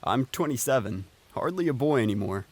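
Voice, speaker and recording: Man acting an awkward young man, swinging pitch. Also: lively intonation, husky. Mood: amused. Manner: awkward, halting, earnest. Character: awkward, gentle, anxious twenty-seven-year-old man. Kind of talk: audio drama dialogue.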